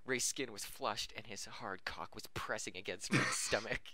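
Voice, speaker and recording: A man's voice: lowish voice, moderate pace, heavy background noise. A man reading fan fiction aloud on a podcast, stumbling over words and correcting himself, often amused and breaking into laughter. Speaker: podcast reader.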